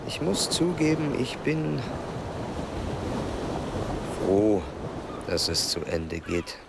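An actor playing an earnest, proud, meticulous male German explorer in a radio drama, speaking in formal, precise, measured diction. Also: fairly low pitch, big pitch swings, creaky, background noise, full character voice. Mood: resolved.

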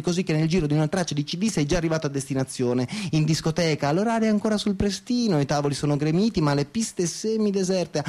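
Actor as sneering cynical man, higher voice. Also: a little mellow, close to an everyday voice. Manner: sarcastic, scornful, foul-mouthed. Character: cynical, bigoted, sneering provincial Italian man narrating his night. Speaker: actor in a radio theatre monologue. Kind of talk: dramatic first-person monologue.

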